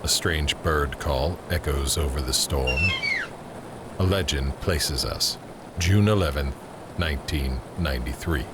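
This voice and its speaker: A man narrating an audio drama in a measured, descriptive, scripted screenplay style. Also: a bass voice, heavy background noise.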